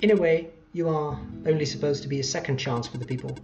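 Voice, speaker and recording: Thoughtful game robot, read by a let's player: measured, resigned, medium pitch. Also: muffled recording, music in the background, close to an everyday voice. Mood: reflective, resigned. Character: reflective, resigned tamed robot who plays chess.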